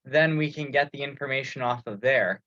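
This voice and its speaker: A man's voice: medium pitch, a little fast, bright, forceful tone, no room sound. A man taking part in an online meeting, explaining technical procedures in a casual, conversational way. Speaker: meeting participant, technical organizer. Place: online teleconference call. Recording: dull lo-fi teleconference audio.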